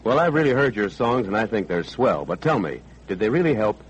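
Male wartime radio interviewer, formal, animated, slightly rough-edged voice. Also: on the low side, a little fast, low-quality recording. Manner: formal announcer delivery. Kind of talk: scripted 1940s radio interview.

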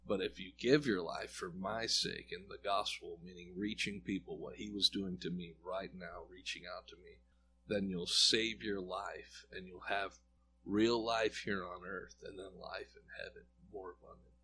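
A man with a low, forceful voice tells a personal story as an interview guest. He talks in an earnest, reflective way and acts out the lines of the people in his story. A faint hum sits under his voice. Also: fairly even intonation, normal speed, low-quality recording. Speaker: guest sharing a personal testimony. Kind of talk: conversational storytelling.

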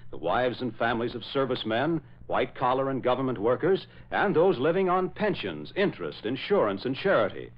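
A man works as a 1940s radio announcer, reading scripted copy with formal, emphatic drama. His medium-pitched voice rises and falls widely. The sound is slightly dull, as on an old broadcast. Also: sing-song, a little fast, hardly any pauses.

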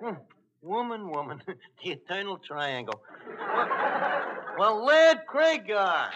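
A man's voice: high voice, big pitch swings, slow pace, muffled recording, a loud crowd, heavily acted. A man playing a flirtatious, boastful wise guy in a 1940s radio comedy, with hesitant, mangled patter. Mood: musing. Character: bluffing, flirtatious male tavern wise guy mangling French. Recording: noisy old radio recording with clicks.

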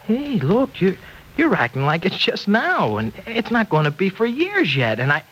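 Animated, slangy young man in love. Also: high voice, breathy edge, exaggerated voice, poor audio. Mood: halting, concerned. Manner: halting, boyish, streetwise slang. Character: earnest, slangy young working guy in love. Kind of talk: 1940s radio drama acting. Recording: old radio recording with background noise.

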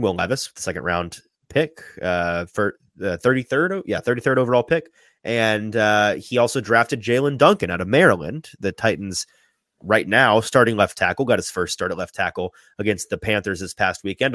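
A man hosting a sports talk podcast, sniffly from a sinus infection, animated and often repeating his first words as he starts a thought. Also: on the low side, no room sound, clean studio sound. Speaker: podcast host.

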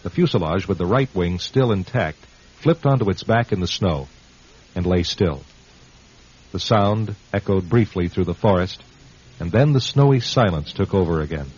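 An actor playing a grave narrator of a suspense tale, measured and dramatic, in old-time radio style. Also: male voice, deep voice, animated, a little mellow, breathy edge. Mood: grave, suspenseful. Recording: dull old radio recording.

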